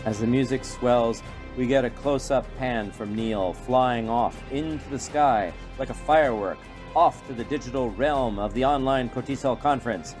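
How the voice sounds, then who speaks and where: A male narrator with a mid-pitched voice tells a radio play story. He reads from a script with dramatic timing, over a bed of music. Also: normal speed, a little mellow.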